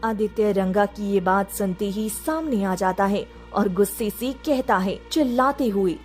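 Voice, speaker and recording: A woman's voice: mid-range voice, a little slow, a little mellow, faint music behind. A woman reading scripted narration for a story as its narrator.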